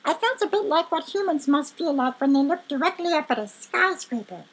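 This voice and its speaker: A woman voicing an elderly male stuffed rabbit, a chatty, excitable storybook adventurer who tells his tale with exclamations. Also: sits high, fairly quick. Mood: excited, bewildered.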